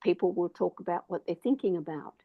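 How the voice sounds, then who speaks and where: A woman's voice: lowish voice, fairly quick, narrow, tinny audio. A woman in a casual discussion, talking in a thoughtful, hesitant, rambling way. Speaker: discussion participant.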